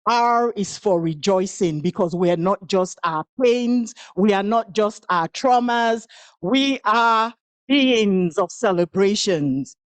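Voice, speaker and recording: A woman whose first language is Igbo performs spoken-word poetry in a slow, measured rhythm, letting each phrase land before the next. Her delivery is incantatory, with long pauses between lines. The sound is close and dry. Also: medium pitch, animated, no room sound. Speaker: speaker at a women's event.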